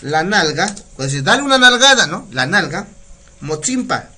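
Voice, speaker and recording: A middle-aged Mexican man with a Mexican Spanish accent teaches vocabulary on a live stream, chatty and quick to laugh. His voice sits high for a man and sounds bright and forward. He slows down and repeats each word carefully so listeners can follow. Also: big pitch swings, breathy edge. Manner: chatty, laughs often, repeats words slowly to teach pronunciation. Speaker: community radio host teaching Nahuatl. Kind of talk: casual live language lesson, reading viewer comments.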